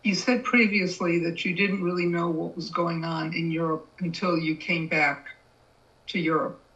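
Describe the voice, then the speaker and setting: A man's voice: fairly high pitch, level tone, normal speed, bright, forceful tone. A man asking formal interview questions as the interviewer, heard from away from the microphone with a dull sound. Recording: distant from mic, dull muffled sound.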